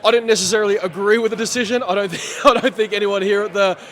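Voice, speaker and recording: A man, an event MC giving post-fight reactions, animated and chatty and losing his voice. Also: sits high, fast talker. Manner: animated, chatty, laughs often. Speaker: MC, guest. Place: sports bar at a casino.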